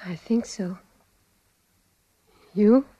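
A woman's voice: medium pitch, very slow, mellow, no room sound, muffled recording. An American woman playing a hard, bitter, gun-wielding dame in a 1940s radio drama, with tough, slangy speech. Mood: dazed, softened.

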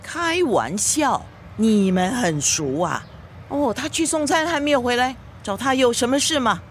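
An actress in a radio drama playing a nosy, excitable middle-aged mother with a Singaporean accent, probing and exclamatory. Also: on the high side, a little slow, a little hiss or hum. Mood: suspicious, indignant.

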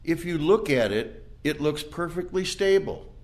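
Man acting a slow, animated old scientist. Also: a little high. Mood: awed musing. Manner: deliberate, theatrical, repeating himself. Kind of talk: audio play acting.

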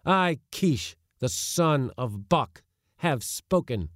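A man's voice: medium pitch, expressive, slow pace, fairly bright tone, no echo, studio quality, voice pushed up. A man voicing a proud, defiant boy who declaims formally before a council. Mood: earnest. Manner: declaiming, formal old-fashioned speech.

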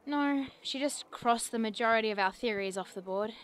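An earnest young woman researcher played by an actress in an audio drama. Her voice sits fairly high. She phrases things precisely and formally, with a bookish, logical bent. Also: moderate pace, a bit bright, natural voice. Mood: composed, matter-of-fact.

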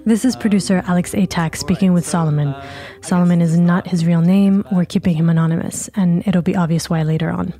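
A woman hosting a podcast, reading scripted narration in a measured, even way. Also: lowish voice, faint music behind. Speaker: podcast host.

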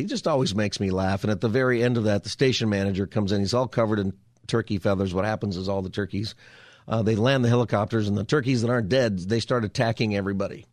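Raspy low-voiced radio host. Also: male voice, a little mellow, dry recording, clean studio sound. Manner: meandering, lots of fillers and restarts. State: hoarse from a cold. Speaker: radio talk show host. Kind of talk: casual call-in radio talk. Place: radio studio. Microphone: studio mic.

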